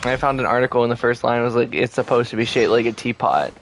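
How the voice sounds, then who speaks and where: A man's voice: medium pitch, lively intonation, fast talker, slight background noise. A man giving casual gameplay commentary as a streamer, chatty, colloquial and self-deprecating. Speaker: game streamer.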